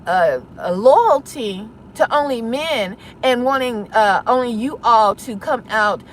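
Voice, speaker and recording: A woman's voice: a little high, lively intonation, takes it slowly, a little hiss or hum. A woman delivering an impassioned public address online, insistent and confrontational, pressing her points with rhetorical questions. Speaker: online content creator.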